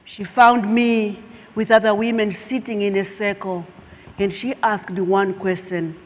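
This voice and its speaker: Slow, emphatic woman on stage. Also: medium pitch, level tone. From Zimbabwe. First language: Shona. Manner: slow, emphatic, dramatic pauses. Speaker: keynote speaker. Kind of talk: personal storytelling talk. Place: large auditorium stage. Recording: long hall reverb.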